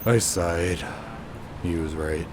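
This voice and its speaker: Deep, world-weary man acting a brooding noir narrator. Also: takes it slowly, background noise. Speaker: narrator and main character. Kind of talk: noir-like scripted first-person narration.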